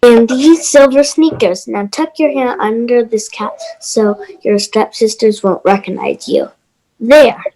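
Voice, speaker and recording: A woman reading a friendly, helpful neighbor in a fairy-tale readers theater, gentle and encouraging. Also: fairly high pitch, measured pace, poor audio.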